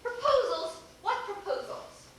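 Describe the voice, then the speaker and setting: Echoey, high-pitched imperious old duchess played by a woman. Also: animated, a little slow, a bit bright, exaggerated voice. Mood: indignant, suspicious. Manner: grand, commanding, formal. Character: imperious aristocratic grandmother, an elderly duchess. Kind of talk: amateur stage acting of a one-act play. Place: theater stage, picked up from afar. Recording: roomy, distant, noisy camcorder stage recording.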